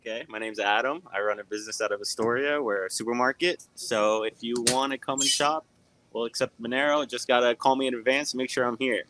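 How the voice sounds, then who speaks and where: A man's voice: mid-range voice, fast, noisy background. A man who runs a supermarket, answering questions frankly and often adding "right?" and "you know". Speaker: supermarket owner. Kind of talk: casual interview answers. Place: supermarket, indoors.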